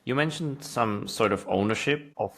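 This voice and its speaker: A man's voice: medium pitch, normal speed, background noise. A man co-hosting a podcast, asking questions in a casual conversational way. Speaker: podcast co-host.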